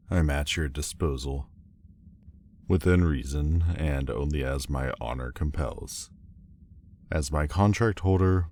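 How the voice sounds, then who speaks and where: An extremely low, slow-spoken demon lord played by a man, proud and formal in an audio roleplay. He sounds haughty yet halting, with a guarded vulnerability under the stiffness. Faint noise sits behind the voice. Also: darkish tone. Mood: stiff, reluctant. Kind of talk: scripted roleplay audio drama. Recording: faint background noise and ambience. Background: faint ambient sound effects.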